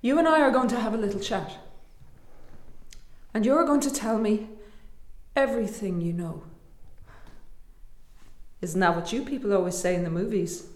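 A woman's voice: mid-range voice, normal speed, pauses often, lightly acted. Intense, bitter woman in an echoing room acted. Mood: menacing. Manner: commanding, accusing, intense. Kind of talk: radio drama acting.

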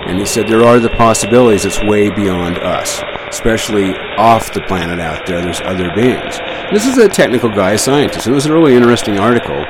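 Man talking over loud shortwave static. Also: lowish voice, expressive, a little fast, hardly any pauses. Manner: rambling, musing. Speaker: radio host. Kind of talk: casual radio talk. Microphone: studio mic heard over shortwave.

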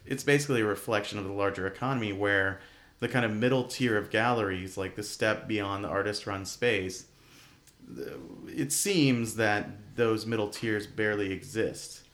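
A man's voice: lowish voice, fairly bright tone. A man co-hosting a radio show, talking casually and thinking aloud about the art scene.

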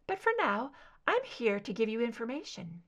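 A shadowy, composed female informant performed by a woman narrator speaks in a very high, delicate soprano. Her lines are soft and clear, lilting up and down with cryptic, unhurried deliberation, in the manner of a thriller audiobook. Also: sing-song, dull audio. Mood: cool, cryptic.

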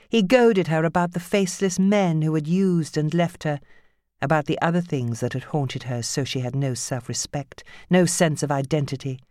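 A woman narrating fiction from a script as an audiobook reader, with a measured, grave and dramatic storytelling manner. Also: low voice, a little mellow, dry recording, clean studio sound.

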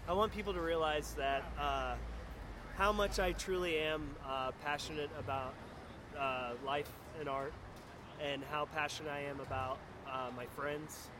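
A middle-aged man hosting a casual podcast chat, speaking effusively and haltingly, often repeating his words. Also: fairly high pitch, steady tone. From northern United States, grew up partly in the South. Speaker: podcast host. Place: outdoors at a pizza place. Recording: noisy outdoor spot with traffic.

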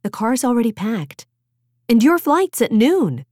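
A practical teenage girl, played by a woman narrator speaks frankly and decisively, gentle but firm with a teasing streak. It is audiobook dialogue, recorded close and dry. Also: fairly high pitch, big pitch swings, a little slow, no echo, voice pushed up.

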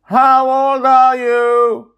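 A man voicing an excited ten-year-old girl captain who shouts playfully. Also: very high voice, drawn-out words, clean tone, pitched above the performer's own voice, exaggerated voice. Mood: playful shouting.

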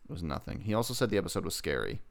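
A man's voice: deep voice, sing-song, rapid-fire. A man co-hosting a casual TV review chat, arguing his points in a sarcastic way. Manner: argumentative, sarcastic. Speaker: podcast co-host.

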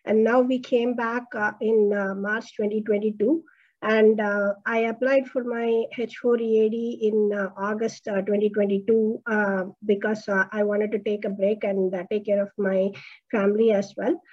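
A woman's voice: mid-range voice, level tone, normal speed, dull audio. A woman from India calling into an advice show, explaining at length with many hesitant fillers. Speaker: caller.

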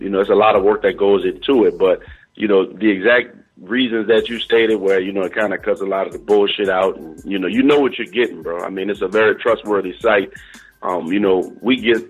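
A man's voice: sits low, breathy edge, rough recording. A middle-aged man, talking informally as an interview guest on a radio call, peppering his talk with "you know". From New Orleans, American South. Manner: informal, uses "you know" and "bro" often. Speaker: interview guest, DJ and company owner.

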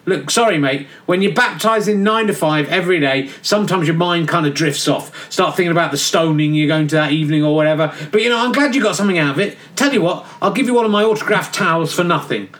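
A man's voice: fairly high pitch, fast talker, hardly any pauses, a bit bright, lightly acted. A man voicing a chummy, forgetful male baptizer in a radio comedy sketch, dismissive and full of "mate". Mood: cocky.

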